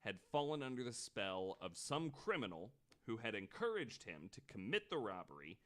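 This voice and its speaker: A man's voice: fairly low pitch, very animated, moderate pace, fairly bright tone. A man hosting a podcast, telling a true-crime story in a casual, conversational way with the odd swear word. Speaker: podcast host.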